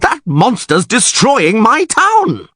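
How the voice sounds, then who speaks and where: A man's voice: medium pitch, huge pitch swings, normal speed, fairly bright tone, breathy edge, no room sound, clean studio sound, voice pushed up, exaggerated voice. A man voicing a blustering, foul-mouthed town mayor in a fantasy storybook, bossy and exasperated. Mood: panicked fury.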